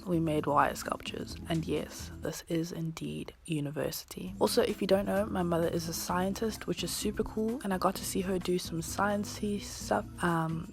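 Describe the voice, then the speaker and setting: An eighteen-year-old university student narrating her vlog in a scripted voiceover with dry, self-mocking humor. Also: lowish voice.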